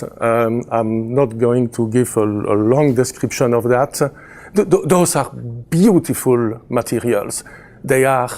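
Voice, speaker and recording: A man's voice: mid-range voice, darkish tone. A man in his fifties with a Belgian French accent, a chemistry professor giving a conference presentation, hesitant with many fillers and restarts and a dry sense of humor. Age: 50s.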